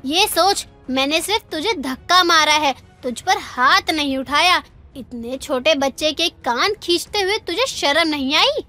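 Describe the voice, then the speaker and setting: A woman playing a fierce, protective mother, scolding indignantly in an animated moral story. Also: sits high, a little slow, soft background music, exaggerated voice. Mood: furious. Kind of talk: melodramatic animated story dialogue.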